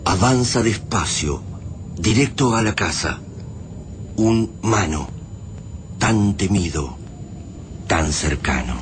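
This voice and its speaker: An actor narrating an apocalyptic radio drama gravely and suspensefully. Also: male voice, low voice, expressive, slow pace, pauses often. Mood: hushed suspense. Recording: clearly noisy, sound-effect ambience.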